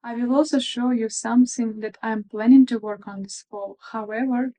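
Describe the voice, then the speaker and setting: A woman's voice: a little high, fairly even intonation, normal speed, dark tone, poor audio. A woman in her thirties from Russia with a Russian accent, chatting in a friendly, slightly shy way about her crafts, with frequent fillers. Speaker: crafting vlogger. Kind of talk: casual crafting video chat.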